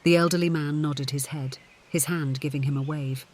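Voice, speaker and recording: A man's voice: a little high, steady tone, moderate pace. A man reading scripted audiobook fiction as its narrator.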